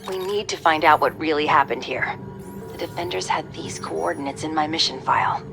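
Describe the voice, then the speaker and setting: An actress playing a determined young covert operative in a game cutscene, clipped and dry. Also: female voice, low voice, fairly quick, gravelly, over music. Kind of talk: video game cutscene acting.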